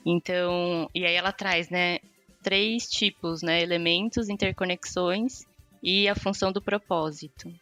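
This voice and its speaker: A woman's voice: on the low side, fairly bright tone. A woman with a Brazilian accent, co-hosting a podcast and explaining casually with frequent question tags. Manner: peppers talk with question tags. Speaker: podcast co-host. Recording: dry, with faint music behind.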